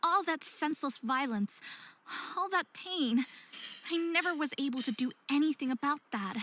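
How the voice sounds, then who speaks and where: A woman's voice: high voice, expressive, normal speed, fairly bright tone, slight background noise. A woman playing a troubled, self-hating young half-faerie in a visual novel, with earnest, emotional delivery. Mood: anguished. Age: young adult.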